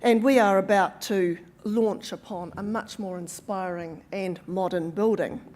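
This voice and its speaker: A woman's voice: mid-range voice, steady tone, a little slow, a little mellow. A woman with a New Zealand accent giving an awards-night speech, full of wry asides, ums and restarts. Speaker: awards speaker. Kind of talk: after-dinner speech. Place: event hall.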